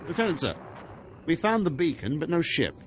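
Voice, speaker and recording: Animated, folksy army engineer. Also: male voice, fairly high pitch, brisk, soft background music. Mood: reporting, businesslike. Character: loyal, folksy military engineer, easygoing and respectful. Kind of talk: video game voice acting.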